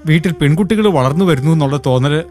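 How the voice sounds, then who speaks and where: Man acting an excitable high-voiced reformed drinker. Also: fast, lightly acted. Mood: earnest, protesting. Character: excitable reformed male drinker, a father heading home. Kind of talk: film drama dialogue.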